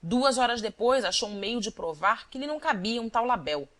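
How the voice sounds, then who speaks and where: A woman reading scripted literary narration as an audiobook narrator, with a measured, deliberate storytelling delivery. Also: on the high side, animated, fairly bright tone.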